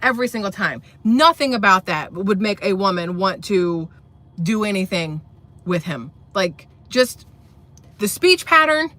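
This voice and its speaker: A woman's voice: medium pitch, animated, unhurried, bright, forceful tone. A woman hosting a rambling political commentary vlog, blunt, profane and sarcastic, with a voice she says sounds boyish. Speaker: commentary channel host.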